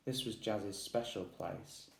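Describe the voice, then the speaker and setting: A man's voice: fairly low pitch, slow pace, gravelly. A man reading a children's story aloud as narrator. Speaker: audiobook reader. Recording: roomy echo, distant microphone.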